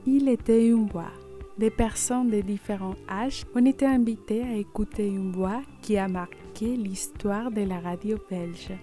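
A woman's voice: medium pitch, measured pace, dark tone. A woman radio host giving a scripted presentation of a programme. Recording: music behind the voice. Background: music.

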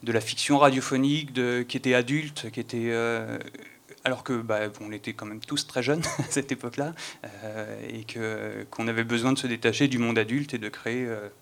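Stop-start male guest, medium pitch. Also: fairly even intonation, moderate pace, gravelly, a bit bright. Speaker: panel guest, audio fiction maker. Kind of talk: casual explanatory discussion.

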